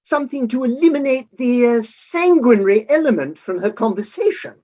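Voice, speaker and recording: A courteous old colonel from a stage comedy, played by a woman, earnest and mildly flustered. The voice is husky and rather high, stammering politely, on slightly dull audio. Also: animated, fairly quick, warm tone. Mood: hesitant, earnest. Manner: hesitant, polite, stammering starts.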